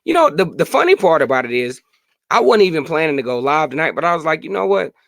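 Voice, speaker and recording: A hoarse man in his late thirties hosting a casual sports podcast live stream, chatting freely and answering viewer comments. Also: a little high, sing-song, quick pace, fairly bright tone, no room sound, studio quality. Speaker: podcast host.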